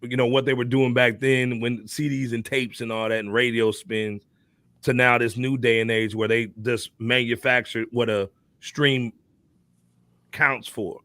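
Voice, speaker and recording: A man's voice: medium pitch, little pitch movement, a little slow, a bit bright, dry recording. Casual American man hosting, dry close sound. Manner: slangy, conversational. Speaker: podcast host. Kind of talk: casual hip-hop talk.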